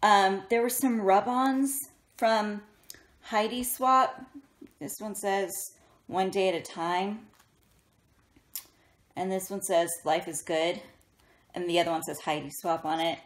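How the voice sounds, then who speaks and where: A woman in her thirties chatting casually and excitably, with a self-deprecating, giggly manner, as a craft vlogger. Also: medium pitch, slow pace, long pauses. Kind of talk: casual chatty haul vlog. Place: indoors in a bedroom, sitting up in bed. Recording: roomy echo, faint noise, handling clicks.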